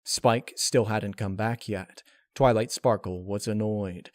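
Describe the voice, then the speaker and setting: A man's voice: fairly low pitch, steady tone, slow pace, no echo, clean studio sound. A man reading a piece of fan fiction aloud as a scripted narration. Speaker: storyteller.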